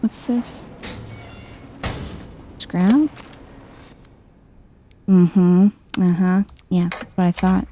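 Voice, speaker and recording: Female gameplay streamer, ASMR-style: soft, unhurried, mellow delivery, casual and chill, faint noise behind her. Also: fairly low pitch. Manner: chill, murmuring to herself. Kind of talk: soft-spoken casual game commentary, ASMR vibe.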